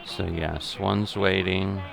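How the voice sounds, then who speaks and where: A middle-aged man giving unscripted simulation game commentary, thinking aloud in a methodical, halting way. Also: low-pitched, level tone, vocal fry, a crowd in the background. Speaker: gaming video host.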